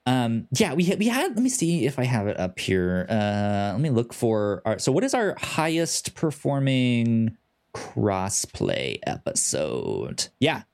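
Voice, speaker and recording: A man with a stutter hosting a casual podcast, halting with many ums and restarts. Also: medium pitch, huge pitch swings, creaky, darkish tone. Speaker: podcast host.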